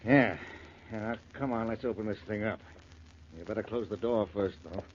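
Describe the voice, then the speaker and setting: An actor with an American accent playing a genial but sly, greedy butcher who fences stolen goods in a 1940s radio crime drama. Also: male voice, fairly low pitch, moderate pace, natural voice. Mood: sly, gloating. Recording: muffled, noisy 1940s radio recording.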